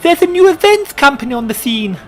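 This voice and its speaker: Man doing a shrill gushing falsetto friend. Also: very animated, brisk, a bit bright, soft background music, exaggerated voice. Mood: excited.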